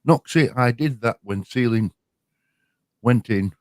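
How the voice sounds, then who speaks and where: A man's voice: mid-range voice, dry recording, clean studio sound. An older man from West Yorkshire with a West Yorkshire accent, easygoing and chatting on a livestream with a friend. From West Yorkshire, near Leeds. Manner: easygoing, down-to-earth, quick to agree. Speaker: livestream co-host. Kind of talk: casual livestream chat.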